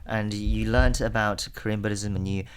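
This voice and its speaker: A man hosting a radio interview in a measured way, with frequent fillers and short acknowledgements. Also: on the low side, steady tone, clean tone.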